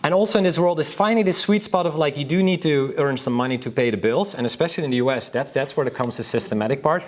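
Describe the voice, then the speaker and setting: A man's voice: a little high, fast. A man from Northern Europe speaking as a panelist in an informal conference talk, enthusiastic and rambling, often restarting his sentences.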